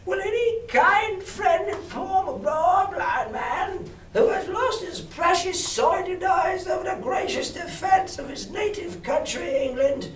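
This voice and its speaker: A man's voice: very high-pitched, lively intonation, slow, hardly any pauses, a bit bright, voice pushed up, heavily acted. Man putting on a sly, menacing old blind beggar, roomy. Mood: wheedling sing-song. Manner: odd sing-song wheedle that turns to hard commands. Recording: roomy, distant mic with background noise.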